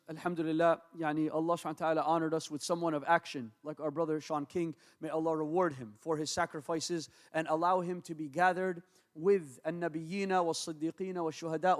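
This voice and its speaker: A man born and raised in America, an imam preaching in a mosque, with rapid Arabic invocations and earnest English. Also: a little high. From United States. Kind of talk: mosque talk with Arabic recitation. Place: large mosque hall. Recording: long hall reverb.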